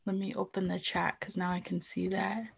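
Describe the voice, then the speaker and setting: A young woman speaking casually on a video call from a small room at home, soft-spoken and hesitant with many pauses. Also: lowish voice, completely flat delivery, creaky, breathy edge, highs cut off. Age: young adult. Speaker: guest speaker. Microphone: laptop webcam mic on a video call.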